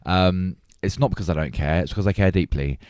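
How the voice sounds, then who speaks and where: Male podcast co-host, very low voice, dry close sound, casual and emphatic film chat. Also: a little fast, a little mellow, no echo.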